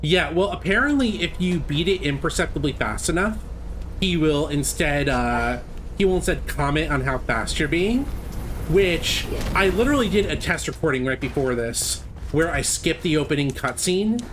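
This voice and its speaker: A man's voice: on the high side, lively intonation, normal speed, a bit bright, a little hiss or hum. Chatty man commentating a speedrun. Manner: chatty, explanatory. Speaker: gameplay commentator. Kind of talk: casual live speedrun commentary.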